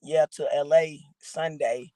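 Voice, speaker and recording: A woman from Georgia with a raspy voice, a guest rapper rambling casually with lots of fillers. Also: low voice, fairly even intonation, slow, mellow, no room sound, rough recording. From Augusta, Georgia, raised in Atlanta. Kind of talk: casual interview chat.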